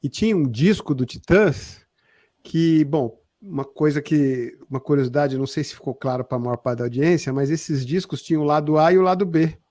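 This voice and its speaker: Male co-host, late 50s, Brazilian accent. Raised pitch, dry studio-like closeness, loose and halting casual delivery. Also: dark tone, dry recording. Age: 50s, almost sixty. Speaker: podcast co-host. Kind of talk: casual chat, reminiscing.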